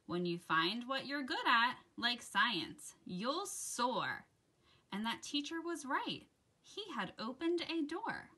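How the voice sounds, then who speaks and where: A woman host reading aloud to children in an expressive, deliberate storytelling style. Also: a little high, husky. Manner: dramatic, deliberate storytelling delivery addressed to children. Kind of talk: expressive read-aloud of a rhyming children's picture book.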